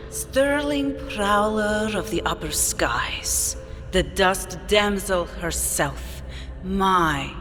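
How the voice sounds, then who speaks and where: A woman's voice: fairly low pitch, exaggerated voice. A woman playing a regal, imperious queen of a desert city in a theatrical, grandiose audio drama. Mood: commanding, solemn. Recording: long reverb, music behind.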